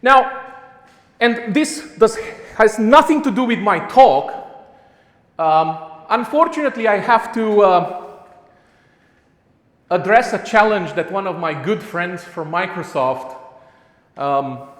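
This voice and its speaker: A man's voice: high-pitched, lively intonation, slow, long pauses, a bit bright. A man with a Romanian accent gives a live conference talk in a chatty, self-mocking way, full of restarts and fillers. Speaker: conference speaker. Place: conference hall before a live audience. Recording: echoey hall with a long reverb.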